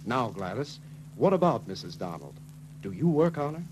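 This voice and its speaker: A man's voice: medium pitch, sing-song, normal speed, lightly acted. An actor playing an emphatic, henpecked young car salesman in 1940s film style. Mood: probing. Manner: emphatic, exasperated. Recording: noisy 1940s film soundtrack.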